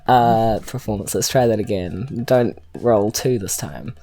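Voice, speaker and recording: A woman's voice: extremely low pitch, unhurried, warm tone, soft background music. A woman with a New Zealand accent, bantering casually and jokily as a player in a tabletop game. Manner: jokey, giggly.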